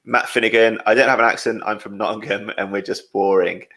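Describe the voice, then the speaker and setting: Low gravelly young English man chatting. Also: little pitch movement, a little fast, pushed and bright. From England, Midlands. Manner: chatty, reads out comments, laughs often. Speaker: podcast host. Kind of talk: casual podcast chat.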